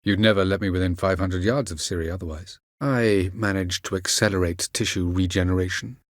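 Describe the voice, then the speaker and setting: Casting: male actor for ancient elf sage, video game. Deep voice, very dry close sound, aloof, measured, archaic and condescending. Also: fairly even intonation, no room sound, close to an everyday voice. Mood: cool, condescending. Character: aloof, haughty ancient elven sage and mage. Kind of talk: video game character acting.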